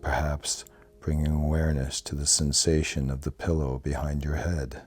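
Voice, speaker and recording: A man leading a guided yoga nidra relaxation in a calm, soothing, measured manner. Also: a bass voice. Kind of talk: guided meditation. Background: soft plucked guitar music.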